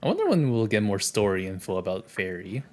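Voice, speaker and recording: A man's voice: fairly low pitch, sing-song, fast, darkish tone. Male streamer with faint game music. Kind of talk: reading game dialogue aloud with casual commentary.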